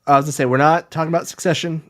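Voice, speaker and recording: A man co-hosting a podcast in casual chat, speaking in an informal, somewhat halting conversational way. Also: fairly high pitch, fairly even intonation. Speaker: podcast co-host.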